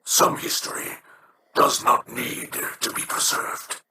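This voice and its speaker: An actor playing a grave old alien chieftain and father in a video game, with a gravelly voice. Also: takes it slowly, noisy background, close to an everyday voice. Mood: bitter hushed.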